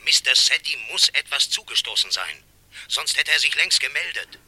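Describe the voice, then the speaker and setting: A man's voice: fairly high pitch, expressive, moderate pace, a crowd in the background. An actor playing a worried, insistent man reporting a missing relative, earnest and emphatic, in a 1960s German radio drama.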